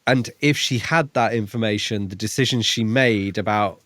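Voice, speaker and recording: A man with a fairly low voice, recorded dry and close. He chats casually as a podcast co-host, feeling his way thoughtfully through each point with pauses. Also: fairly bright tone, dry recording. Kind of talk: casual book discussion chat.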